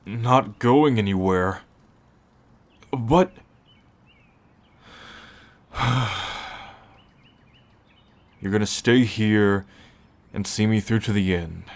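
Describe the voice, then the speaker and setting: A man playing a gruff, brooding teacher who is turning into a werewolf, halting and protective, in an ASMR roleplay. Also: on the low side, close to an everyday voice. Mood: moved, vulnerable. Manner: halting, sighing, sardonic.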